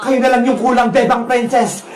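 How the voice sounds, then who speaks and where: A woman's voice: a little high, steady tone, roomy sound, pitched below the performer's own voice, lightly acted. A woman voicing a wicked canteen vendor in a children's cartoon story, menacing and gloating as she scolds. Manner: gloating, scolding, drawn-out threats. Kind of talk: children's animated story villain.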